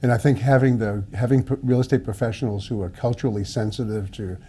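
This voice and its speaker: A middle-aged man hosting an interview, friendly, often pausing and repeating words as he talks. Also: lowish voice, fairly even intonation, mellow, husky. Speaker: interview host, home buyer class teacher. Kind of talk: interview conversation.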